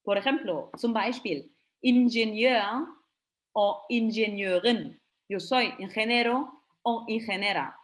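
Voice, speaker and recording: Woman teaching slowly. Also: a little high. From Germany. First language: German. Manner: slow, careful demonstrations of German phrases between Spanish explanations. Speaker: German teacher. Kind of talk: webinar lesson for beginners.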